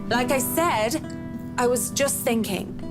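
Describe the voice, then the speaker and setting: An actress playing a guarded, polite young woman working at a mansion in a visual novel, measured and gently probing. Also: fairly high pitch. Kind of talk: visual novel game acting. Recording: game music underneath.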